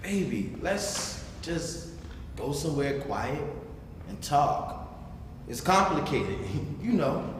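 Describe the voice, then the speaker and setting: A man's voice: fairly high pitch, big pitch swings, takes it slowly, slight background noise. A man playing a jealous, possessive, menacing boyfriend who talks confrontationally in a staged play reading. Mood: coaxing, menacing. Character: jealous, menacing, smooth-talking adult boyfriend. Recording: echoey hall, crowd, live stage pickup.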